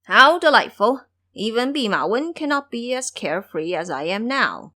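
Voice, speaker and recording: A woman's voice: a little high, sing-song, moderate pace, pushed and bright, voice pushed up, exaggerated voice. Woman playing a whining, indignant pig-man fool. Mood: gleeful. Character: grumbling lazy pig-man fool, self-pitying and indignant. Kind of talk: audio drama character acting.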